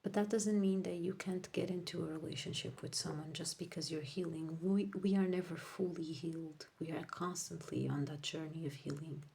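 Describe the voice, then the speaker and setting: A woman whose first language is Portuguese, giving a casual tarot reading to viewers, rambling and correcting herself, often dropping into soft whispers. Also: lowish voice, fairly even intonation, normal speed, gravelly, few pauses. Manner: drifts into soft whispers while laying cards. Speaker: tarot reader.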